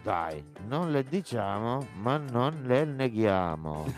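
A man's voice: mid-range voice, big pitch swings, takes it slowly, soft background music. A man playing a confused, lecherous old president in a radio comedy sketch, rambling insistently in garbled wordplay. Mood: sly, insistent. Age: older.

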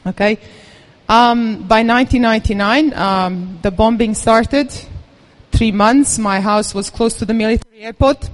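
A woman's voice: mid-range voice, low-quality recording. Woman telling her life story on stage, nervous but candid. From Serbia. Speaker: event speaker. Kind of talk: personal story talk to an audience.

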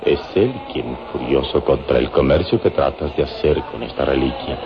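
A man playing a solemn, mysterious adventurer hero who speaks grandly in an old-fashioned radio drama. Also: rumbling low. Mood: solemn, persuasive. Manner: solemn, grandiloquent, deliberate warnings. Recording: dull old radio serial recording.

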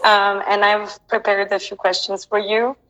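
A woman's voice: medium pitch, flat delivery, normal speed, fairly bright tone, in a large hall, poor audio. A woman hosting an interview and asking questions hesitantly, with frequent ums. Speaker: interviewer, host. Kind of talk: hosted interview.

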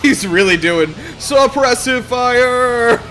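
A man's voice: very high voice, expressive, takes it slowly, a bit bright, faint music behind. A man giving casual, slangy joking commentary as a movie reaction host. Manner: slangy, wisecracking.

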